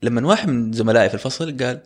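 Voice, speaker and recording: A young man, co-hosting a casual podcast and telling personal stories in a lively way. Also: mid-range voice, very fast. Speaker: podcast co-host.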